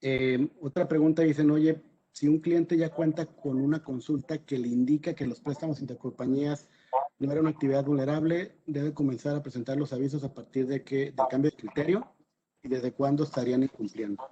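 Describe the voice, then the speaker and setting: A Mexican man speaks in a soft, mellow tone as a panelist taking audience questions. He repeats words as he thinks and often tags his sentences with a short question. The recording is slightly dull and lo-fi. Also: mid-range voice, steady tone, fairly quick. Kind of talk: informal legal panel discussion.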